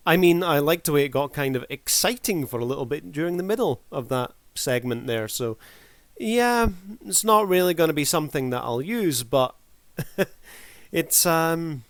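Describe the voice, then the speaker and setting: A man's voice: a little high, animated, moderate pace, no room sound. A man hosting a video review, talking casually off the cuff in a laid-back, wry way. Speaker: video reviewer and channel host.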